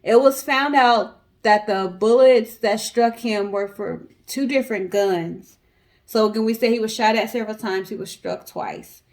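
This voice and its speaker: A woman's voice: a little high, moderate pace. American woman telling true crime stories. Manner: conversational, colloquial, addresses audience directly. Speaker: co-host of a storytelling show. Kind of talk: casual true crime storytelling to viewers.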